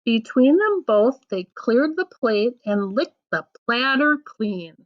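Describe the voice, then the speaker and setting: Female children's read-aloud storyteller, higher-pitched and very animated, deliberate sing-song phrasing, slightly muffled lo-fi recording. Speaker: teacher reading aloud to children. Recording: dull lo-fi sound.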